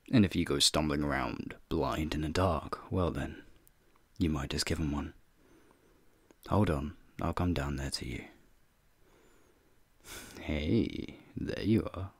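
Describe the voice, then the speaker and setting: Very deep, gravelly flirty werewolf played by a man. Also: dry recording, full character voice. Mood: teasing, knowing. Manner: teasing, flirtatious, folksy slang, chuckling. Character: flirty, teasing male werewolf, playful urban explorer. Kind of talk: ASMR roleplay, speaking to the listener.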